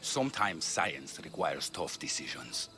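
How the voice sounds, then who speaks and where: Ancient Greek physician, very low voice, lively intonation, earnest lecturer, dedicated, video game drama. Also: male voice, measured pace, over music, exaggerated voice. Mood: urgent, grave.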